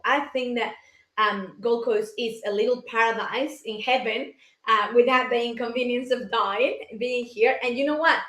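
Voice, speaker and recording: A woman's voice: fairly high pitch, a bit bright. A woman in her forties from Mexico with a Mexican accent, giving an enthusiastic, chatty motivational talk on a live stream and greeting viewers. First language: Spanish. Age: 40s. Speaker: life coach hosting a live session. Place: colorful home office. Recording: echoey room.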